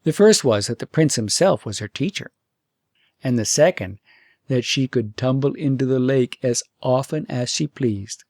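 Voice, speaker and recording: Creaky-voiced male storybook narrator. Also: medium pitch, lively intonation, normal speed, a little mellow, no room sound, clean studio sound. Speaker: audiobook narrator. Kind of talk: scripted fairytale narration.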